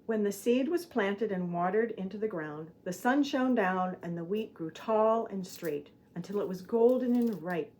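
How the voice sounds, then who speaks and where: Woman narrating a children's story, medium pitch. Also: lively intonation, moderate pace, hardly any pauses, warm tone, poor audio. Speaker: storyteller, puppet show narrator.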